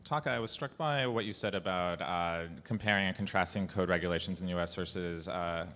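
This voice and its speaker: A man moderating a panel, asking questions in a measured way. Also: low-pitched, bright, forceful tone. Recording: hall reverb, light noise.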